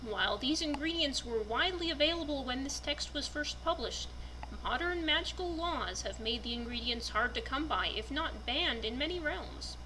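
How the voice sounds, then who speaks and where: A woman giving a deliberate, storybook-like dramatic reading of a written fantasy text. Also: high-pitched, lively intonation, background noise. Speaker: reader presenting a fantasy book.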